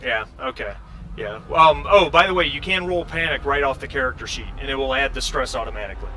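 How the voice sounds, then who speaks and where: A man acting as game master in a tabletop role-playing game, explaining rules and scenes in a halting way with repeated starts. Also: medium pitch, pushed and bright. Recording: faint background noise.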